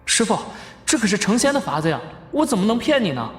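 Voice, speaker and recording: An actor playing a cunning young disciple who speaks with earnest deference in an audio drama. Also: male voice, high-pitched, very animated, fast. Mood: feigned earnestness. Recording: echoey reverberant cave effect, music behind.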